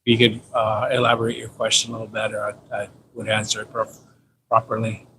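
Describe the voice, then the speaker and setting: A man's voice: lowish voice, monotone, a little fast. A man in his fifties with a Californian American accent, chatting casually on a livestream in a loose, slangy, repetitive way. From San Diego, California, United States. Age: 50s. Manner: repeats himself, loose slangy storytelling. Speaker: livestream host. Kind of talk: casual rambling livestream chat. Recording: clearly noisy ambient livestream audio.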